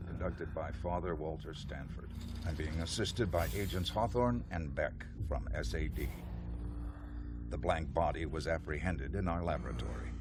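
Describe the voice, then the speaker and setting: An actor playing a stern, zealous priest interrogator in a video game, solemn, deliberate and condescending. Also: male voice, extremely low pitch, background noise. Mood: cold, formal. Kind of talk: video game cutscene acting. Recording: voice under loud dramatic game music.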